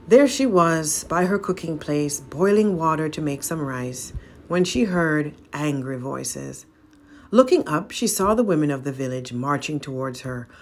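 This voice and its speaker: Woman reading a folk tale aloud, low voice, slow n dramatic. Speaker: storyteller.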